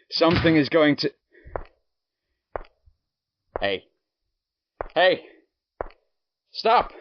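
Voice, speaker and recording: A man's voice: medium pitch, dry recording, dull audio. A man playing an earnest radio announcer turned whistleblower in an audio drama. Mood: panicked.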